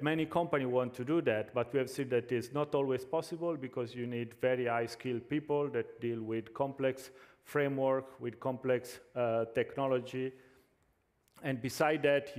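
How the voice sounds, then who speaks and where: Italian-accented male conference presenter, mid-pitch, halting delivery, reverberant hall. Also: fairly even intonation, darkish tone. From Italy. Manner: hesitant, frequent fillers and restarts. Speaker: conference speaker.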